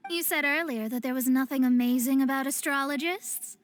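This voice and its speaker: A woman's voice: sits high, brisk, close to an everyday voice. An actress playing a proud young female astrologist in a video game, speaking in lofty, formal, theatrical phrases. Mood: smug, lecturing. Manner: formal, archaic, lofty diction. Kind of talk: anime-style video game voice acting.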